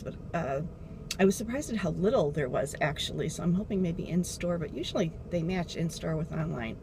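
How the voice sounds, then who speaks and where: A woman's voice: on the low side, darkish tone. Chatty woman vlogging from her car. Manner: rambling, chatty, loses her train of thought. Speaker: beauty vlogger. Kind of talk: casual chat. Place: inside a car while driving. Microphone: dashboard camera. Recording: car engine and road noise.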